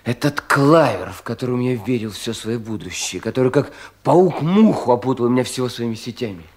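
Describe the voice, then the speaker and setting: An actor playing a troubled, self-doubting young provincial official in a 1970s Russian radio play, in an anxious, theatrical manner. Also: male voice, mid-range voice, animated, fairly quick, natural voice. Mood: bitter, fearful. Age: young adult. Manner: brooding, theatrical, self-questioning, with sudden swells and hushed drops.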